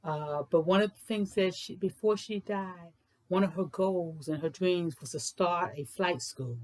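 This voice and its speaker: Expressive woman reading aloud. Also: on the low side, unhurried. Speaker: host of a children's read-aloud show. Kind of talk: expressive picture book read-aloud in rhyming verse.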